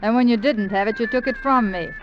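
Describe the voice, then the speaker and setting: An actress playing a fed-up, sharp-tongued gambler's girlfriend in a 1950s radio drama, cool and sarcastic. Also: on the high side, quick pace, music in the background, close to an everyday voice. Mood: cold, scornful. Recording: dull vintage radio recording.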